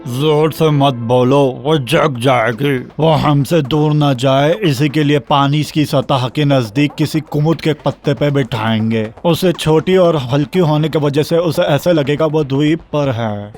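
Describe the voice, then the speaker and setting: Scheming old toad, raised pitch, played by a man. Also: hardly any pauses, darkish tone, soft background music, lightly acted. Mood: hushed scheming. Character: scheming frightful old toad in a fairy tale. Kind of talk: children's cartoon story voice acting.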